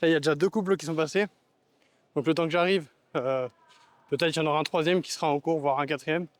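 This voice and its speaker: A young man vlogging on the go, excitable and rambling with lots of fillers. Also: fairly high pitch, fast talker, dry recording. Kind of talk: casual on-the-go vlog. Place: outdoors and in a camper van.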